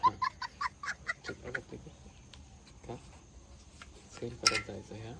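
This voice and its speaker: A man with a Malvani accent talks informally, explaining his work step by step like a motorcycle vlogger. His mid-pitched voice stays quite flat. The handheld phone recording has clear background noise. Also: slow pace. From Konkan, western India. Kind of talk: casual hands-on restoration vlog.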